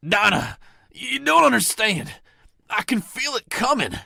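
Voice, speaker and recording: A man voicing a sick, dying, grieving survivor in a comic dub, weak and halting. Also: sits high, very animated, gravelly, bright, forceful tone, breathy edge, dry recording, clean studio sound, heavily acted. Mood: pleading. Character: Jim, dying bitten man, pleading, grieving.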